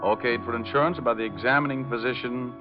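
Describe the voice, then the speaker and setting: An actor playing a cool, mercenary private detective in 1940s radio style, dry, laconic and wisecracking. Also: male voice, medium pitch, fairly even intonation, fast talker, lightly acted. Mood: wry narrating. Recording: dull vintage radio recording with music.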